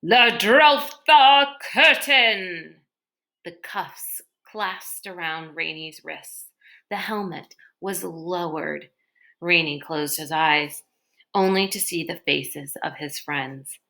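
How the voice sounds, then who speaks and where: A woman reading a children's novel aloud in an expressive storytelling style. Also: fairly low pitch. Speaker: read-aloud reader. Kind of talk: expressive children's book narration.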